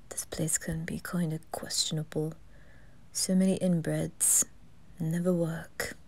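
A woman voicing a reserved, proud, aristocratic young brother in an ASMR fan roleplay, hushed, hesitant and earnest. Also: low voice, level tone, slow, pauses often, exaggerated voice. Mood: awkward, disgusted.

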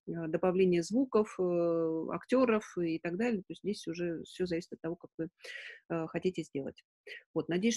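A woman's voice: mid-range voice, darkish tone. A middle-aged woman hosting a webinar in an informal way, hesitating and correcting herself as she goes. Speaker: webinar host. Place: small room at home, indoors.

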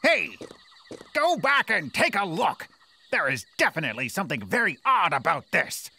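A woman's voice: on the low side, sing-song, normal speed, vocal fry, pushed and bright, slight background noise, heavily acted. A woman voicing a bossy, suspicious male boss of a gang of thieves in a storybook cartoon, commanding and scornful.

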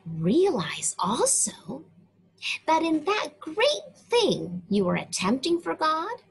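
A woman reading a Bible story aloud to children, talking to them directly in a slow, sing-song way. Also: high voice, huge pitch swings, gravelly. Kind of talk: read-aloud story lesson for kids.

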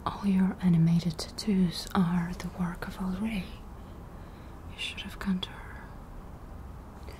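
Female actor as young cyberpunk tattoo technician for ASMR roleplay: breathy whisper, very slow, close on mic. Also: on the low side, level tone. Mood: calm, attentive. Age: young adult. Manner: slow, hushed, intimate close-up delivery. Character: soft-spoken cyberpunk tattoo removal technician, young woman, unhurried. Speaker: ASMR performer.

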